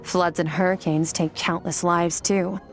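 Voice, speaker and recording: A woman's voice: on the low side, level tone, a little slow, soft background music. A woman voicing a cool-headed, aloof mentor in a video game, who speaks in a curt, businesslike way. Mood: explaining.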